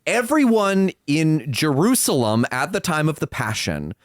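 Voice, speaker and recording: A man's voice: fairly high pitch, very animated, unhurried, dry recording, clean studio sound. A man co-hosting a podcast, making his points emphatically in casual, comedic discussion. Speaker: podcast co-host.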